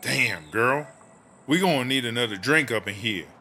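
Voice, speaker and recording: A man playing a sassy, gossipy, foul-mouthed streetwise woman inmate in a comedy audio drama, with slangy, drawn-out exclamations. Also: mid-range voice, expressive, sharp tone. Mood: gossipy, shocked. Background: music.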